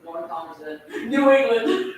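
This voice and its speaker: A woman's voice: a little high, big pitch swings, measured pace, distant mic, slight background noise. Giggly woman streaming from an echoey kitchen. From Turkey. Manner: giggly, chatty, talks to chat and to the food. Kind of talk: casual live stream chat while cooking. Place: home kitchen. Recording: echoey room, mic set back.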